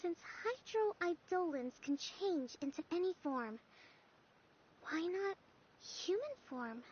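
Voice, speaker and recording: High-voiced gentle young general. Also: female voice, sing-song, full character voice. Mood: thoughtful. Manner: composed, polite, measured. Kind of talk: anime game voice acting. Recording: dull audio, faint music behind.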